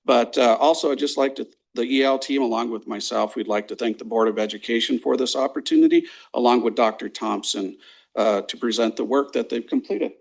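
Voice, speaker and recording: A man, a program coordinator, giving a measured, formal presentation to a school board, reading from notes with some fillers. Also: mid-range voice, vocal fry. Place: board meeting room.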